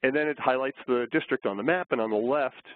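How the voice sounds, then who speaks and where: A man getting over a flu, slightly hoarse, giving a measured, explanatory webinar presentation with frequent fillers. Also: medium pitch, no room sound. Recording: tinny phone line.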